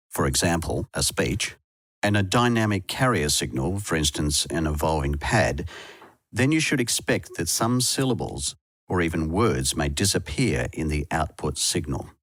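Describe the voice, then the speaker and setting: A man's voice: sits low, sing-song, moderate pace, fairly bright tone, no room sound. A man presenting a tutorial in scripted instructional narration, recorded as a close, dry voice-over. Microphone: close dry voice-over microphone.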